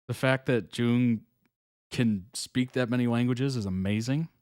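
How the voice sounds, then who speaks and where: A man hosting a reaction video, giving relaxed, chuckling commentary in short approving remarks. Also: medium pitch, measured pace, clear, resonant tone, no room sound, clean studio sound.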